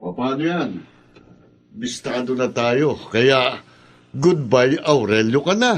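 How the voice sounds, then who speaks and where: A man's voice: mid-range voice, expressive, slow pace, over music. A man playing a brash, jealous, clownish lover in a Filipino radio drama, with a mocking, exclamatory delivery. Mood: jealous, insistent.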